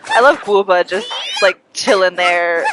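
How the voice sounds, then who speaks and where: A woman's voice: fairly low pitch, unhurried, very noisy. A young woman chatting casually and playfully as she livestreams a game, sounding a little congested. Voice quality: congested, audibly breathy breathing. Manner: playful, giggly, echoes game characters' lines theatrically. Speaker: game streamer. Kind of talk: casual livestream gameplay chat. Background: video game music.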